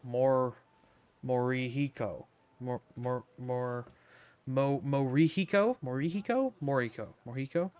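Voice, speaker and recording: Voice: mid-range voice, unhurried, darkish tone, clear, resonant tone. A streamer giving casual commentary on a game playthrough, with wry, sweary interjections.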